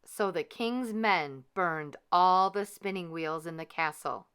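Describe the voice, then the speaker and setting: Female storybook narrator, unhurried and bright, with measured dramatic delivery for children. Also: on the low side, clear, resonant tone. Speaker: storyteller reading a picture book aloud.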